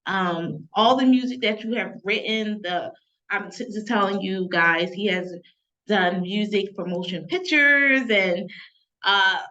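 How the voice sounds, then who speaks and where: Bright, effusive woman host. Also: mid-range voice, unhurried, dull audio. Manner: effusive, enthusiastic praise. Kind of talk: interview.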